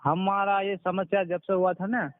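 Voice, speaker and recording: Guy caller with a slightly high voice on a thin phone line, super deferential, keeps repeating himself. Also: flat delivery, a little slow, no room sound. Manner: deferential, constantly says sir, repeats himself. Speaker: caller, patient giving a testimonial. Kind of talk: informal phone conversation. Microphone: telephone. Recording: narrowband phone call recording.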